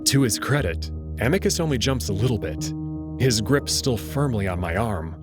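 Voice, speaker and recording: A man's voice: on the low side, big pitch swings, normal speed, music in the background. A man narrating a visual novel as a scripted, dramatic read-aloud. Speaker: host and narrator.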